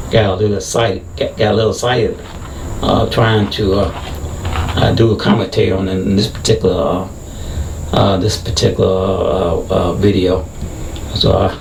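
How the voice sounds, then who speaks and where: A middle-aged man, a former deputy, with a very deep, heavy voice, giving casual video commentary in halting speech full of fillers and restarts. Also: vocal fry, a little mellow, reverberant, distant mic, a little hiss or hum, poor audio. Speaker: former deputy, channel host.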